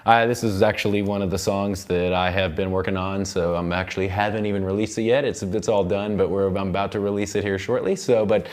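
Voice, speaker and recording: A man's voice: low-pitched, steady tone, fairly quick, hardly any pauses. A young adult man with an American accent, an instructor giving a casual live tutorial in a rambling way, often repeating and restarting phrases. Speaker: instructor, seminar host. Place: recording studio control room, seated at mixing console.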